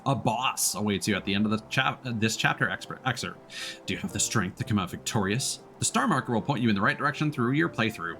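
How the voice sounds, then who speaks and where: A man's voice: lowish voice, expressive, quick pace, pushed and bright, a little hiss or hum. A man giving casual gaming commentary, thinking aloud and sometimes reading on-screen text. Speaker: streamer. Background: game music.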